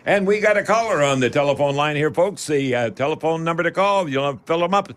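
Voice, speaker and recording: A man's voice: on the high side, fast talker, a bit bright. Folksy man hosting a radio call-in. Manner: folksy, addresses listeners as folks. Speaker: radio show host. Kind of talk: live radio call-in chat.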